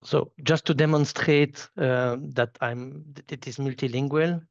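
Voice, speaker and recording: A man whose first language is French, speaking with a Swiss French accent as he gives a live software demo and often restarts and repeats words. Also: medium pitch, fairly even intonation, gravelly, dry recording, lo-fi sound. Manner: halting, repeats words while clicking through. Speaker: solution architect giving a software demo. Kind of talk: live product demo walkthrough.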